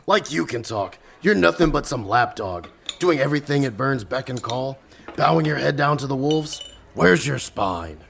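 A man's voice: medium pitch, expressive, moderate pace, creaky, a bit bright, a little hiss or hum. A man playing a weary, prickly young criminal sidekick in an audio drama, sarcastic and surly.

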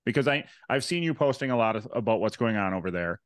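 A man hosting a casual podcast, asking loose, halting questions and reacting to his guest. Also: on the low side, animated, dry recording. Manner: easygoing, hesitant questions with restarts. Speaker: podcast host.

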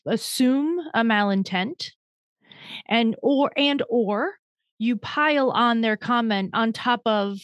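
A woman's voice: a little high, slow, no room sound. A woman hosting a podcast interview, chatty and self-deprecating, thinking aloud in long winding questions. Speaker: podcast host. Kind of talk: conversational interview.

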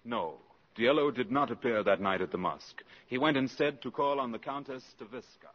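An actor playing a sober narrator, measured and formal, telling a spy thriller in a 1950s radio drama. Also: male voice, mid-range voice, animated, no room sound, lightly acted. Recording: muffled 1950s radio broadcast, faint music behind.

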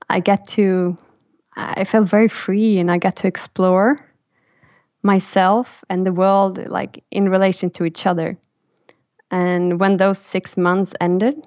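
A woman's voice: lowish voice, darkish tone, dry recording, muffled recording. A woman in her thirties from Sweden with a Swedish accent, thoughtfully telling her life story as a podcast co-host. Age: 30s. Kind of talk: personal storytelling in a podcast chat.

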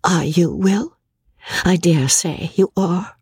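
A woman reading aloud as a hurt young wife who accuses her false friend, speaking in a breathy, trembling voice. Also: lowish voice, slow, darkish tone, dry recording, studio quality, pitched above the performer's own voice, exaggerated voice. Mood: reproachful.

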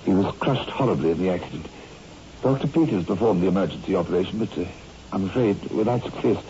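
An earnest, loyal doctor in an old radio thriller. His voice is dark and slightly low, his delivery formal and emphatic. The sound is dull, with faint noise. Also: lively intonation, fast talker. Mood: respectful, solemn. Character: earnest, loyal male assistant surgeon. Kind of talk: 1960s radio horror drama acting. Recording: muffled, noisy old radio recording.

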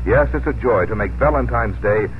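A man's voice: medium pitch, moderate pace, slight background noise. An American man reading scripted commercial announcements as a 1950s radio announcer. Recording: tinny old radio recording, music behind. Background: music.

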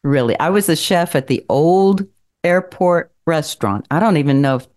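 A woman's voice: sits low, lively intonation, moderate pace, darkish tone. A woman in her sixties from Kentucky, a guest telling stories in a chatty podcast conversation and laughing often. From Kentucky, Appalachian Mountains. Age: 60s. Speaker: guest, city council member. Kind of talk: casual chat.

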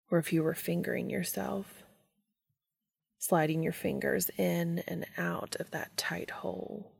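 A woman voicing a commanding, tender adult man in a romantic audio story, speaking low, slow and often in a whisper. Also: gravelly, slightly rough, exaggerated voice.

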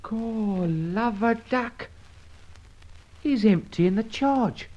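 An actor playing a loyal, slangy working-class sidekick in an old radio drama. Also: male voice, high voice, drawn-out words, a little mellow, a little hiss or hum. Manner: chirpy, slangy. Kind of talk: 1940s radio acting. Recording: dull old radio recording.

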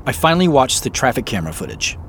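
A man's voice: mid-range voice, big pitch swings, fast talker, creaky, fairly bright tone, slight background noise. A man playing a polite, uneasy professional in a naturalistic audio drama, speaking in an understated, hesitant way.

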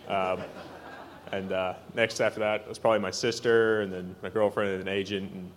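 Young male player, low-pitched, relaxed, faint background noise. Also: moderate pace. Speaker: baseball player panelist.

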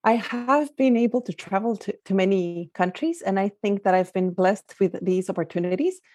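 Mellow-voiced woman from Guatemala. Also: mid-range voice, expressive, moderate pace, a little hiss or hum. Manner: thoughtful, with frequent hesitations. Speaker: guest, life coach. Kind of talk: conversational interview answers.

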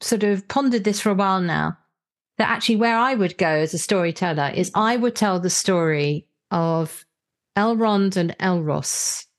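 A woman's voice: mid-range voice, moderate pace. A woman hosting a podcast, talking casually and thoughtfully about stories in an articulate way. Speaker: podcast host. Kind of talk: casual literary discussion.

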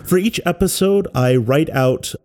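An American man explaining creative work in a measured, reflective narration, as a sound artist presenting their own work. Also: a little high, a little mellow. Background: music.

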